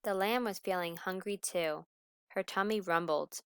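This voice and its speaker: Slow, clear woman reading a children's story. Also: lowish voice, fairly bright tone, no room sound. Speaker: storyteller reading a picture book aloud. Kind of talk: expressive scripted children's story narration.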